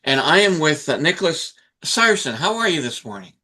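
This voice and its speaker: A man's voice: a little high, very animated, fairly quick, sharp tone. A man hosting a casual interview, talking with many fillers and restarts. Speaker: interviewer host. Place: Vancouver Island.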